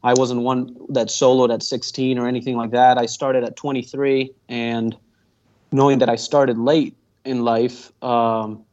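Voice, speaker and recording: A man's voice: medium pitch, little pitch movement, a little slow, a little mellow. Guy in his 20s, rambles a lot, ums and restarts, muffled lo-fi sound. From Venezuela. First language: Spanish. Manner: earnest, rambling, with many fillers and restarts. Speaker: guest pilot. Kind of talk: casual interview answers. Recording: dull muffled audio.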